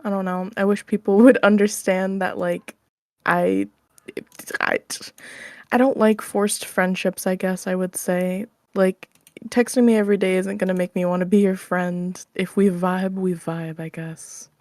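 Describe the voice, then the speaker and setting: A woman's voice: mid-range voice, steady tone, a little slow, a little mellow, no echo. A young adult woman chatting casually as an interview guest, giggly and informal, often hedging what she says. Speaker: podcast guest, audio roleplay creator.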